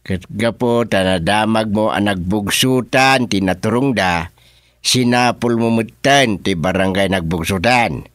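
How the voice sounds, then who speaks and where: A man plays a practical village chairman in a radio drama. He speaks slowly in a fairly low voice with an Ilocano accent, helpful in manner and recorded dry. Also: a bit bright, no echo. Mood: practical, concerned.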